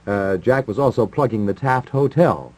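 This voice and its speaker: A man's voice: fairly low pitch, lively intonation, normal speed, a little mellow. A man chatting casually as a 1950s radio disc jockey, with a rambling, wry manner.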